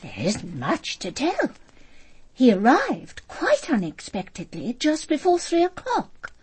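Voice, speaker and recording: A woman's voice: high voice, huge pitch swings, slow, slight background noise, exaggerated voice. An actress playing a polite, hesitant woman author giving her account as a witness in a radio drama. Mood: matter-of-fact. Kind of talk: naturalistic radio drama acting.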